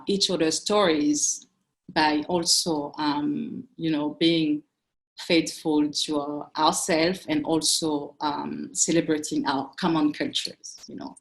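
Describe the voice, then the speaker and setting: Low-voiced woman, thoughtful. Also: poor audio. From Cameroon. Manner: thoughtful, searching for words, frequent restarts. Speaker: curator panelist. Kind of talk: panel discussion on a video call. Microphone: video call.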